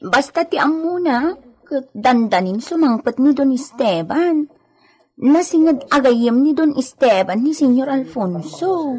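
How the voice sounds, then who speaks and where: An actress plays a caring, chatty female helper in a radio drama. Her voice is high and slightly husky, and she takes her time as she coaxes and fusses in an eager way. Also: animated, a little slow, a little mellow, heavily acted. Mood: gossipy. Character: Edita, caring chatty female helper tending a sick lady. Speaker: voice actor. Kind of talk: radio drama acting in Ilocano.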